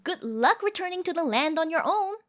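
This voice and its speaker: Casting: woman to play proud tsundere sea siren for roleplay audio; high, very animated voice, imperious and huffy. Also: fairly quick. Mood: grudging, imperious. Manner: haughty, scoffing, flustered stammers, archaic formal phrasing. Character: haughty tsundere siren, proud, secretly smitten sea creature. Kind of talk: ASMR roleplay monologue.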